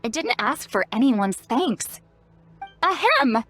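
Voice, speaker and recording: A woman's voice: a little high, big pitch swings, slow pace, a bit bright, exaggerated voice. A woman voicing a spry, youthful-sounding self-styled granny in a video game, playful, knowing and caring. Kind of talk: scripted video game dialogue. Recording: game music behind. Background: game music.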